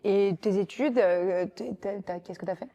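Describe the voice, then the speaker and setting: A woman's voice: medium pitch, a little slow, warm tone. A young woman hosting a podcast, asking questions casually and informally with short approving interjections.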